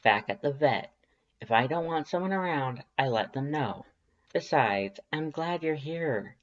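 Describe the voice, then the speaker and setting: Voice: mid-range voice, animated, a little slow, highs cut off, pitched above the performer's own voice, close to an everyday voice. A reader putting on a lighter, wry homeowner. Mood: friendly. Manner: casual, hesitant, gently teasing. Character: friendly, wry, slightly awkward homeowner. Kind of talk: fan fiction character voice in audiobook reading.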